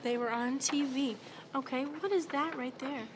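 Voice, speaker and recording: A woman's voice: high voice, normal speed, noisy background. A woman in her twenties, a teacher who speaks expressively and encouragingly about and during reading with children. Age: 20s. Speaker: afterschool teacher. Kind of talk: explaining teaching methods and leading a children's read-aloud.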